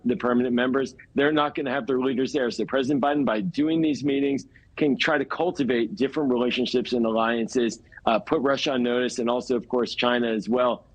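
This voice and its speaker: An American man speaking as a political analyst in a live TV news interview, in a measured way with hesitant, repeated words. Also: mid-range voice, low-quality recording.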